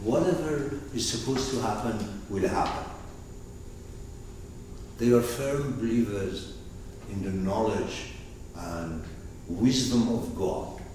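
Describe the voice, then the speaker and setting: A man's voice: lowish voice, slow, a little mellow, a little hiss or hum. A man with an Arabic accent explaining terms to a class, rambling and asking the room questions. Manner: digressive, asks the room questions. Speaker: teacher. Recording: roomy, far from the mic.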